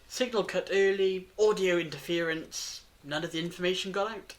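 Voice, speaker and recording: A performer playing a wise, gently wry time traveller in an audio drama, speaking in a measured, reflective way. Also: mid-range voice, fairly even intonation, natural voice. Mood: explaining, assured. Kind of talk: fan-made audio drama acting.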